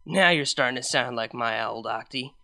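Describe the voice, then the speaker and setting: A man's voice: medium pitch, moderate pace, vocal fry, pushed and bright. A man reading the lines of a blunt, fiery young mare pony in a storybook reading, with a matter-of-fact manner.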